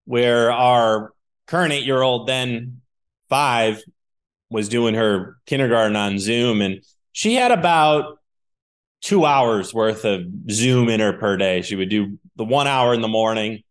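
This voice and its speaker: Guy hosting a business podcast, chatty and encouraging, drifts lower and quieter sometimes. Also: slow pace. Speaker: podcast host. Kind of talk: casual interview hosting.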